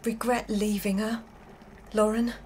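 A woman's voice: medium pitch, little pitch movement, a little slow, darkish tone, slight background noise, lightly acted. An actress in a radio drama playing an anxious, exasperated, frank and persistent girlfriend. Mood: exasperated, concerned. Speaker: actor.

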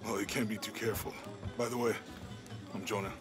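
A man playing an easygoing, burly, self-effacing companion and cook in a video game, with relaxed, understated delivery. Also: on the low side, brisk, over music, lightly acted. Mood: friendly, guarded. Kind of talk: video game cutscene acting.

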